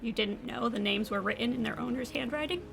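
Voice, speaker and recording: A woman's voice: on the high side, brisk, vocal fry, noisy background. A woman playing a sardonic, weary and emotionally raw young woman in a scripted audio drama. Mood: shaken, sardonic. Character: defiant, weary young woman, sarcastic survivor. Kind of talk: scripted audio drama acting with first-person narration.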